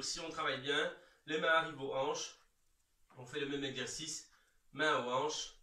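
Male exercise instructor, slightly high bright voice, reassuring, step-by-step guidance. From Belgium. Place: large bare indoor room. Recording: echoey room, distant microphone.

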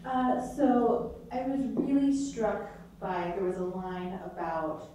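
A woman in the audience asking a long, winding question at a talk, with many pauses and "um"s. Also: medium pitch, far from the mic, background noise. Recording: echoey room picked up from afar.

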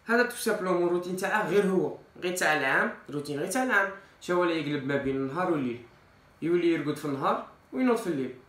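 Young algerian guy doing a fitness vlog, talks super fast, kinda high voice, echoey kitchen. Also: lively intonation, a bit bright. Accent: Algerian Arabic. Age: 20s. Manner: informal, mixes in French loanwords. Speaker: fitness vlogger. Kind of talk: casual advice to camera. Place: small tiled kitchen, indoors. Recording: echoey tiled room.

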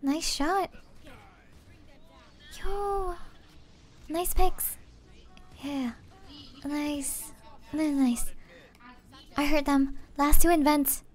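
A woman playing a sweet, affectionate young gamer girlfriend in a soft-spoken, gentle, hesitant ASMR roleplay. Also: high-pitched, steady tone, very slow, pauses often, slight background noise. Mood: cheerful, encouraging. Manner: gentle, cooing, giggly, hesitant.

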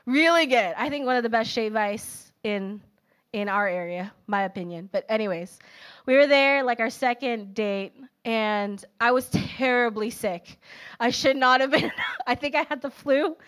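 A woman in her thirties preaches in a chatty, animated way, full of personal stories and filler words. Her voice sits a little high. She speaks into a handheld microphone on a church auditorium stage. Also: normal speed. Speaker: speaker at a young adults' service. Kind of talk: conversational sermon.

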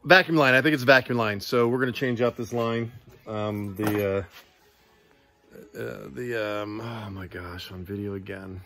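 A man's voice: fairly low pitch, a little slow, creaky, sharp tone. A middle-aged man in his fifties filming a casual road-trip vlog outdoors on a handheld phone, chatting in a self-deprecating way and fumbling for words as he works on his car. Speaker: vlogger fixing his classic car. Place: outdoors in a sunny desert yard. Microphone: handheld phone camera at arm's length.

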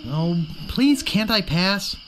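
A man's voice: high-pitched, expressive, slow pace, a bit bright, a little hiss or hum, full character voice. A man voicing a naive, earnest young would-be pirate in a comic adventure game, boyish, polite and a bit wimpy. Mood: polite, mild.

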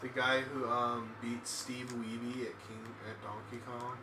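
A man's voice: medium pitch, level tone, unhurried. A young man co-hosting a game let's play, joking and rambling in casual chat. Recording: roomy echo, off-mic, faint hiss.

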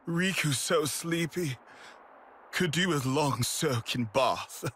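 An actor voicing a small, grumbly, deadpan furry creature that talks in clipped broken grammar in a video game. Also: male voice, a little high, extremely slow, a little hiss or hum. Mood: weary, dry. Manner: broken grammar without articles or pronouns.

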